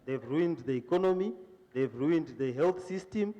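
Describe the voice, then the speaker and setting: Male opposition panelist, mellow, mocking and punchy, dull roomy audio. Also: a little high, lively intonation, normal speed. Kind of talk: political debate.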